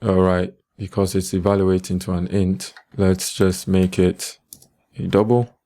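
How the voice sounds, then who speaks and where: A man teaches programming step by step in a screencast. His voice is low and dark, with very little rise and fall, and he sounds calm and methodical. Faint music plays behind him. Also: unhurried. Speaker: programming tutorial instructor. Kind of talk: step-by-step screencast coding tutorial.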